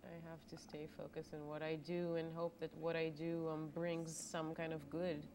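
A woman's voice: deep voice, normal speed, a little hiss or hum. A woman in her thirties whose first language is Hebrew, an opera singer talking candidly and with animation as a guest in a TV studio interview. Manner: candid, animated, laughs easily.

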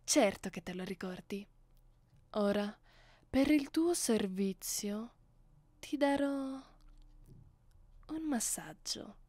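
Haughty, slow-speaking vampire mistress voiced by a woman. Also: medium pitch, animated, dark tone, dry recording. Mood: smugly pleased. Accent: Italian. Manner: slow, condescending, teasing, often dropping into whispers. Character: haughty, seductive female vampire mistress, commanding her captive. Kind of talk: ASMR roleplay, soft close speech. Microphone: close studio microphone.

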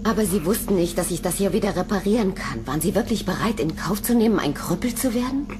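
An actress playing a weary, defiant scientist in an audio drama, serious and reproachful. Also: female voice, medium pitch, expressive, quick pace, natural voice. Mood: sober, reproachful. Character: weary, defiant female scientist of conquering race. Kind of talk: German audio drama acting.

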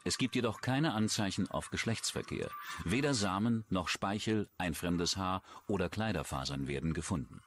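A man's voice: deep voice, expressive, normal speed, slight background noise. A man reading scripted true-crime narration as a documentary narrator.

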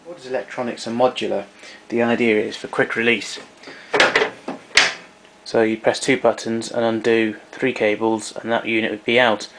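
A man's voice: mid-range voice, level tone, moderate pace, background noise. A man giving an unscripted technical demonstration in a matter-of-fact, somewhat hesitant way. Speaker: demonstrator of vintage tape recorder.

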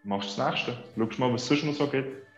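A man's voice: medium pitch, brisk. A man in his thirties with a Swiss German accent, chatting casually as an interview guest on a video call. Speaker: interview guest, social media creator. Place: small room indoors on a video call. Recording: echoey, dull video-call sound, music behind.

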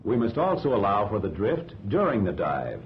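1940s American training film narrator, dull rumbly soundtrack. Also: male voice, huge pitch swings, a little hiss or hum. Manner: measured, didactic.

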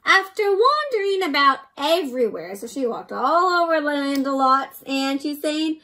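A young woman reading a picture book aloud to children in an expressive, interactive way, often asking the listener questions. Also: high voice, a little slow. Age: young adult.

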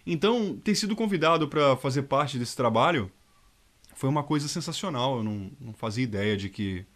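A man, a fan dub voice actor chatting in a lively, nostalgic way to camera. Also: medium pitch, fairly quick. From Rio de Janeiro state, Brazil. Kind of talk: casual nostalgic testimonial. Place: dark room indoors.

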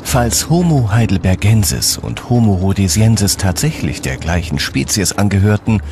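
A man with a fairly low voice narrates a documentary from a script. His delivery is measured and serious, and music plays clearly behind him. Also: expressive. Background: background music.

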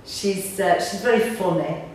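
A woman's voice: lowish voice, normal speed. A middle-aged woman, chatting reflectively in an interview as an actor. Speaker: pantomime actor being interviewed. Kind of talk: casual interview chat. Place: small indoor room on a sofa. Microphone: camera a few metres away. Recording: roomy, distant mic, clear background noise.

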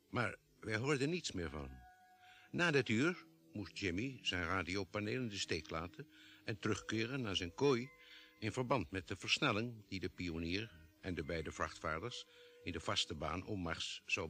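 A man's voice: low voice, sharp tone, heavily acted. A man playing a spaceship doctor who narrates formally in an old radio drama. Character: spaceship doctor recounting a Mars expedition.

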